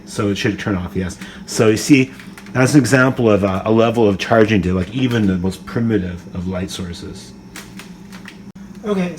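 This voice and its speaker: A man's voice: on the low side, slight background noise. A man giving a casual, unscripted gadget demonstration, rambling tentatively and thinking aloud, recorded indoors on a phone. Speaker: amateur gadget reviewer. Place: indoors, kitchen counter. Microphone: phone camera, held or propped nearby.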